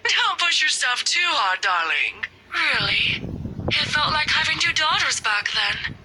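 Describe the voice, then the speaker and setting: Bright, high-voiced doting mother. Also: very animated, measured pace, a little hiss or hum, full character voice, poor audio. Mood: affectionate. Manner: doting, coaxing. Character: affectionate, gently persuasive middle-aged mother. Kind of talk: visual novel voice acting.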